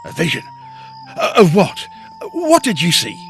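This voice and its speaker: An actor playing a nervous, evasive husband in a period ghost story, hesitant, fussy and defensive, often stammering. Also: male voice, very high voice, wildly swooping pitch, unhurried, faint music behind, full character voice. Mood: anxious curiosity. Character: nervous, evasive husband, anxious to flee haunted house. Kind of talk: period ghost story audio drama acting.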